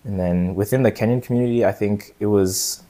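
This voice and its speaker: A young man from Colorado, being interviewed, talking thoughtfully and softly with many fillers. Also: on the low side, fairly quick. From United States, Colorado. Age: young adult. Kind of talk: casual conversation.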